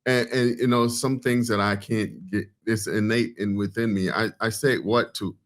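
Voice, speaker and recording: Laid-back, teasing man with a low voice. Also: fairly even intonation, moderate pace, fairly bright tone. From Caribbean background. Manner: easygoing, laughs a lot, teasing. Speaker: podcast guest, host's husband. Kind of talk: casual chat.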